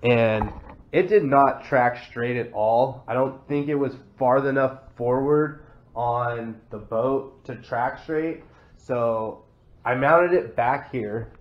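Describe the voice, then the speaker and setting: A man's voice: mid-range voice, takes it slowly. A Texan man with a stuffy head cold, casually walking through his kayak setup with lots of fillers and restarts. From Texas. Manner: rambling, many "uh" fillers and restarts. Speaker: kayak vlogger. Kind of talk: casual show-and-tell walkthrough. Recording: slightly dull sound with faint noise.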